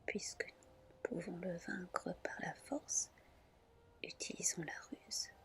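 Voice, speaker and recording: A woman voicing a shrewd, scheming goddess in a soft, measured, persuasive ASMR storybook reading. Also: fairly low pitch. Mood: sly, advising.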